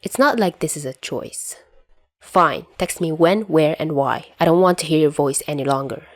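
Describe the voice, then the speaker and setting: A woman's voice: low-pitched, moderate pace, natural voice. A woman playing a flippant, sulky seventeen-year-old boy in the mafia, with a sarcastic, theatrical manner. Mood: curt, resigned.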